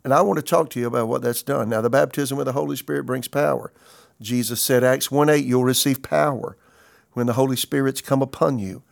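A pastor in his sixties delivers a podcast sermon with a gravelly, mid-pitched voice. He explains scripture in an earnest, conversational way and reads verses aloud between his own remarks. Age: 60s.

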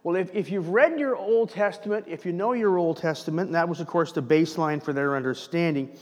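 Animated pastor preaching in a reverberant hall. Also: male voice, a little high, a little fast. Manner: animated preaching with rhetorical questions. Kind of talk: sermon. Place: church sanctuary.

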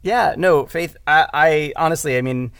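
A man's voice: fairly high pitch, normal speed, a bit bright, clear, resonant tone, no room sound, studio quality. A man who directs audio drama, talking casually in a podcast conversation and often correcting himself as he goes.

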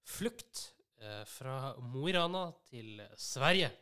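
A man hosting a casual podcast, often pausing mid-sentence as he talks. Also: medium pitch, lively intonation, bright, forceful tone, clean tone. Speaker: podcast host. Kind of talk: casual conversational podcast chat.

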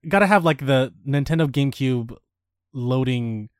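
A man's voice: mid-range voice, lively intonation, moderate pace, smooth, dry recording, studio quality. A man co-hosting a podcast, chatting in an easygoing way with lots of fillers and upbeat asides. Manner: easygoing, filler-heavy, enthusiastic. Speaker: podcast co-host. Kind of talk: casual chat.

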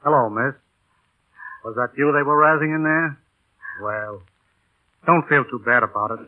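An actor playing a kindly, easygoing radio operator in a 1940s radio drama, talking sympathetically. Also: male voice, mid-range voice, lightly acted. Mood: gentle, wry. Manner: sympathetic, unhurried, conversational. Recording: tinny, band-limited old radio broadcast.

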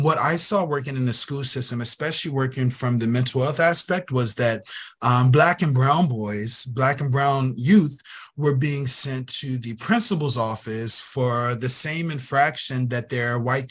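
A man's voice: mid-range voice, a bit bright. A man speaking as an interview guest, earnest and emphatic, repeating phrases to drive his point home. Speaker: guest, youth program director. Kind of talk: conversational interview.

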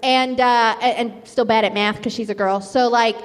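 A woman's voice: fairly high pitch, fairly even intonation, normal speed. A nineteen-year-old woman casually improvising a comic story, rambling with lots of "like" and "you know". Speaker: game show contestant. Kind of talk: improvised comic movie pitch, casual chat. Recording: echoey hall with long reverb.

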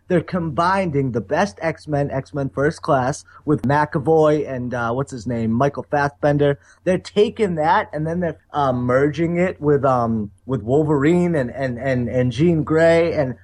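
A man's voice: on the high side, darkish tone, no room sound. Blunt, swearing podcast man. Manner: blunt, profane, fast rants. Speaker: podcast co-host. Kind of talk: casual chat.

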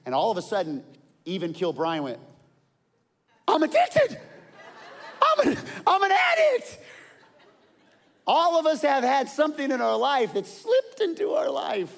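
A man's voice: sits high, gravelly. Preaching man in a reverberant hall. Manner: storytelling, swings from quiet to loud. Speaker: pastor. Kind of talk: sermon.

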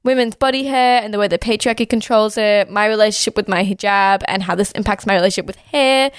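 A young woman hosts a podcast in easy, chatty talk, peppering her lines with "like" and "uh". Her voice is a little hoarse from a sore throat, yet smooth and clear, recorded dry and close with no room sound. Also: a little high, no echo. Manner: chatty, fast-flowing, with "like" and "uh" fillers. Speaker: podcast host. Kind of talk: casual podcast chat.

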